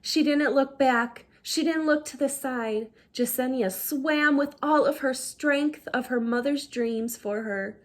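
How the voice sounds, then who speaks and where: A woman storyteller reading a children's book aloud with expressive, deliberate, dramatic phrasing. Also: high voice. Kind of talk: expressive read-aloud narration.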